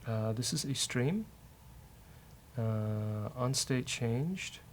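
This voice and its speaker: A man hosting a casual live-coding session, thinking aloud as a software developer. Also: mid-range voice, expressive, extremely slow. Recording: faint noise, keyboard typing.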